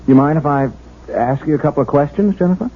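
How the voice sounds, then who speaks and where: An actor playing an earnest, bashful young patrolman in a 1970s radio drama, hesitant and folksy, with a husky voice. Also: fairly high pitch, fast. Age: young adult. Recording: thin, phone-like old radio broadcast.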